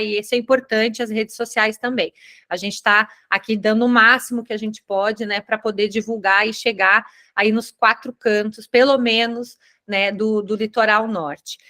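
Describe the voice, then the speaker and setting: Female moderator, fairly high and bright voice, friendly explainer on a video call. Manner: friendly, explanatory, frequent "né" tags. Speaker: moderator of a virtual Q&A forum. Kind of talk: online meeting moderation.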